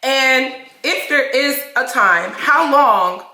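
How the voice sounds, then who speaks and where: A woman's voice: high voice, animated, slow pace, sharp tone, reverberant, poor audio. A woman of twenty-one from Philadelphia who is under the weather, hosting and moderating a panel chat in a casual, upbeat way. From Philadelphia, USA. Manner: upbeat, chatty, asks questions directly. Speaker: host and moderator.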